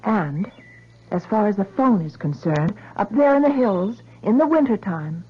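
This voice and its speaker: An actress playing a concerned, earnest woman who reasons gently and persuasively in a 1970s radio drama. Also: medium pitch, expressive, measured pace, slightly rough. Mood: gentle, careful. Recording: dull vintage radio recording.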